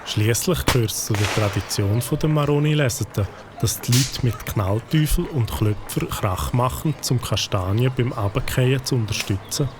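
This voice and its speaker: A man with a Swiss German accent narrates a children's story calmly, at a middle pitch. Music plays under his voice. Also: normal speed. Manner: calm, storytelling.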